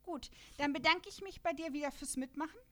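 Woman, podcast host, casual chat, chatty, laughs a lot, slightly high voice. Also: brisk, pushed and bright. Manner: chatty, laughs often while talking. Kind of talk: casual podcast chat about radio dramas.

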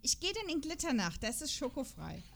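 A woman's voice: high voice, very animated, brisk, vocal fry, a little hiss or hum. A woman in a casual podcast chat, playful and giggly, reading character profiles aloud and stumbling over words. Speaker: podcast host.

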